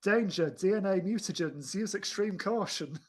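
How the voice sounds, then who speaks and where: Male film podcast co-host, voice a little high, casual, halting and rambling. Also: slightly rough. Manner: halting, rambling delivery with repeated starts.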